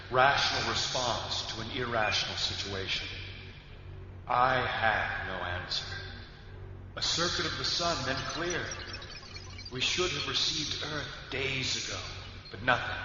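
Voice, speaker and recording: A man's voice: medium pitch, highs cut off, noisy background, natural voice. A man playing a brooding scientist in an echoing monologue. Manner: slow, measured, reflective. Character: calm, reflective male scientist keeping a log. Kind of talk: radio drama inner monologue. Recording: echoing reverb with music.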